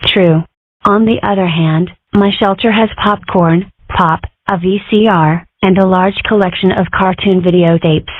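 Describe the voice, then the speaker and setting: A woman's voice: medium pitch, steady tone, a little slow, no echo, close to an everyday voice. A woman voicing a brash, mischievous young fox girl who makes theatrical, self-important declarations in an amateur comic dub. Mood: excited. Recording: muffled, tinny phone-like audio.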